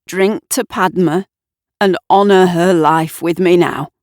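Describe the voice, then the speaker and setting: Woman doing a sad old fella in a storybook, really slow, halting, nostalgic, dry close sound. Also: on the low side, steady tone, a little mellow, dry recording. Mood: solemn. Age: older. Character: grieving, wistful old man reminiscing about lost youth.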